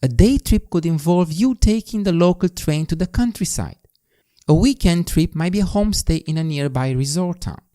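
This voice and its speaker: A man's voice: fairly high pitch, animated, normal speed, warm tone. A man with a Romanian accent, a native Romanian speaker, hosting a podcast in an emphatic, scripted self-improvement monologue that addresses the listener directly. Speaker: podcast host.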